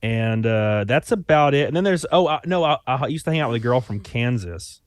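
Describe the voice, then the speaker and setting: A man's voice: medium pitch, animated, a little fast, dry recording. Man, Alabama accent, young adult, rambling casual talk, dry sound. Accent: Southern US (Alabama). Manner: rambling, loose, with many fillers and restarts. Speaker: YouTuber guest. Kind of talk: casual conversation.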